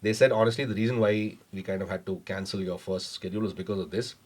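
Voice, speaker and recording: A man, a startup founder on a podcast, telling his story in a measured way with lots of fillers and hedges. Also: low-pitched, fairly even intonation, a little mellow. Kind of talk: casual interview storytelling.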